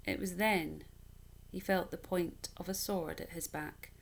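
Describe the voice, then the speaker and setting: A woman with a fairly low voice narrates a children's storybook. She reads expressively, in a measured and dramatic storytelling manner. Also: steady tone, vocal fry.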